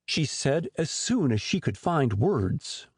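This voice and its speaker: A man narrating a storybook audiobook in an expressive storytelling manner. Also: fairly high pitch, takes it slowly, slightly rough, no echo, studio quality.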